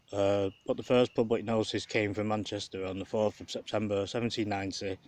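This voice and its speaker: A man's voice: lowish voice, a little mellow. An English man with a slight Mancunian lilt gives a casual, rambling, musing history commentary while walking outdoors. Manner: conversational, digressive, musing. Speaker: vlogger and documentary narrator. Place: outdoors along a rural canal path. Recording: noisy outdoor recording with wind and birds. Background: wind, birdsong, outdoor noise.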